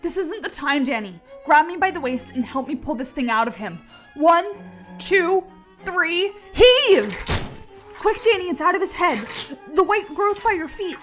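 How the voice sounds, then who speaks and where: A woman's voice: very high voice, very animated, unhurried, a bit bright, faint music behind, exaggerated voice. A woman playing a brave, wry young grad student scientist in an audio drama, urgent in manner.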